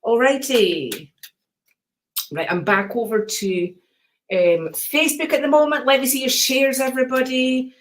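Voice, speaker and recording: An older Scottish woman hosts a live card-reading stream, chatting easily with her viewers. Her voice is calm and soothing, and she keeps slipping in endearments like darling and sweetheart. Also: sits high, a little slow, a little hiss or hum.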